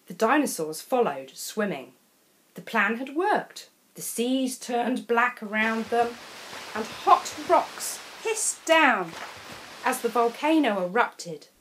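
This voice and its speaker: A woman reading a children's storybook in an expressive, deliberate way with dramatic phrasing. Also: fairly high pitch, rough recording. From England.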